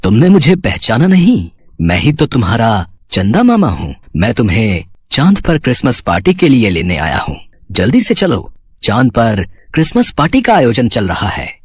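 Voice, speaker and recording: A man plays a kindly, princely moon uncle from a fairy tale. His voice is husky and swings expressively, grand yet reassuring, in a dry close recording. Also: medium pitch, wildly swooping pitch, moderate pace, dry recording. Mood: kindly, inviting. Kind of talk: children's fairy tale voice acting.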